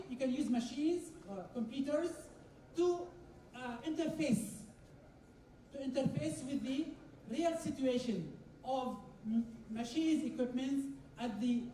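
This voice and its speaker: A woman's voice: high voice, mellow, slight background noise. A woman giving a technical conference lecture, explaining step by step, repeating phrases and asking the audience questions. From Kuwait. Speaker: conference speaker. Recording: echoey hall, distant mic.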